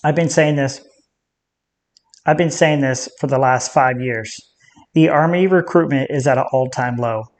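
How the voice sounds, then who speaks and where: An American military veteran hosting a reaction video, speaking in a direct, conversational way. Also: male voice, medium pitch. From United States.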